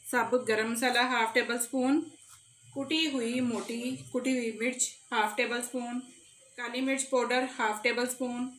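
A woman's voice: fairly high pitch, little pitch movement, normal speed, a bit bright. A middle-aged woman, a cooking channel host talking viewers through a recipe step by step in a friendly, chatty way in her home kitchen. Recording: echoey kitchen with faint background noise.

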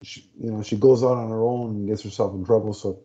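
A man's voice: fairly low pitch, steady tone, quick pace, dark tone. A man co-hosting a casual comic review podcast from a home room, talking through a headset on a video call and often searching for words. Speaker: podcast co-host. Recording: dull lo-fi video call audio.

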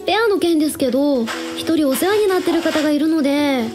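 Woman acting a very high-voiced sweet young idol. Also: quick pace, music in the background, natural voice. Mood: sweet, flattering. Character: sweet, flattering young female idol contestant. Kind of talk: radio drama acting.

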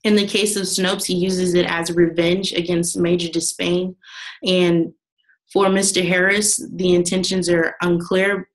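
A woman's voice: fairly low pitch, flat delivery, a little slow, rough recording. A young woman, a student, reading a class presentation from her slides, with some restarts. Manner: reads steadily from notes with some restarts.

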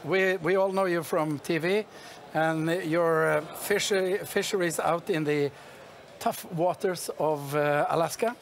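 A middle-aged man from Norway interviewing guests in a large expo hall, playful but halting with many fillers. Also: on the high side, fairly even intonation, slight background noise. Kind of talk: casual interview.

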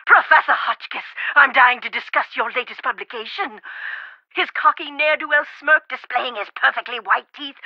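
A woman's voice: high voice, no echo. A flowery eccentric lady scholar. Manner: prim, theatrical, fond of elaborate metaphors and "dear". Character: eccentric chatty woman scholar of Egypt, fussy and flowery. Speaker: character in an adventure game. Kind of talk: studio game voice acting. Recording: dry, slightly dull game audio.

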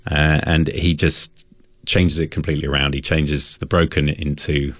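A man with a very deep voice talks earnestly as a musician guest on radio. His delivery is fairly flat and a little hesitant, as if choosing words. The sound is close and dry. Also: normal speed, dry recording. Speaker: singer-songwriter guest. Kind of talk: radio interview, reflective chat.

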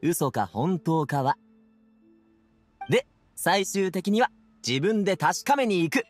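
A man's voice: high voice, very animated, takes it slowly, soft background music, exaggerated voice. A man voicing an easygoing but headstrong young man in an anime game, speaking in a casual, youthful way. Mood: relaxed, amused. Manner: casual youthful masculine speech.

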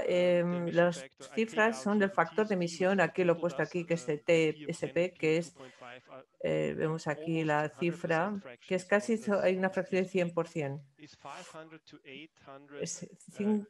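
A woman interpreting a technical presentation live, halting and correcting herself, often adding little checking tags. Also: on the low side, darkish tone. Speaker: simultaneous interpreter.

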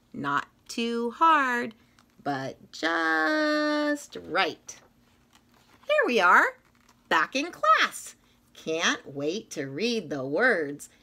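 Slow, very animated woman reading aloud. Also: fairly high pitch. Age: middle-aged. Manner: expressive, sing-song, rhythmic, drawn-out phrases. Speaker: teacher reading a picture book aloud. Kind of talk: read-aloud of a children's story. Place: small indoor classroom-style room.

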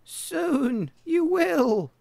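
A man's voice: extremely high pitch, sing-song, drawn-out words, vocal fry, a little mellow, dry recording, voice pushed up, full character voice. A man voicing a friendly, confident young lamb from a children's storybook in an exuberant, sing-song way. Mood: cheerful, confident.

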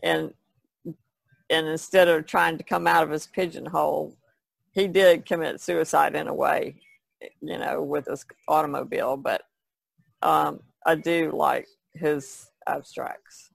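A woman's voice: deep voice, steady tone, unhurried, vocal fry, no echo. A woman podcast panelist talking in a casual, conversational way. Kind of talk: casual chat.

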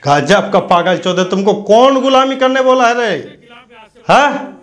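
A man's voice: high voice, animated, measured pace. A man delivers a combative political rant to camera on a lapel mic, scornful, abusive and confrontational. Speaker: news commentator.

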